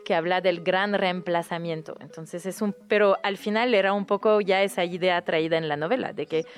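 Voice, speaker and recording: A woman's voice: on the low side, steady tone, normal speed, soft background music. A woman with a French accent, native French speaker, recommending a novel in a casual interview, often restarting her words. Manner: hesitant, frequent restarts and fillers. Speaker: guest book recommender.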